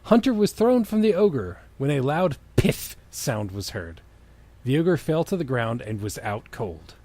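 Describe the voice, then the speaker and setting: A man's voice: medium pitch, very animated, measured pace, mellow, no room sound. A man doing a dramatic comedic reading of fan fiction, breaking into exasperated, mocking asides. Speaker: co-host of a comedy reading show.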